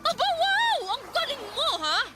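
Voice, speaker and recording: A woman's voice: extremely high pitch, big pitch swings, unhurried, over loud music, full character voice. A woman voicing a defiant, resentful gambling wife in a Filipino radio drama, with a confrontational, sarcastic, scolding manner and a Filipino accent. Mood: furious, bitter.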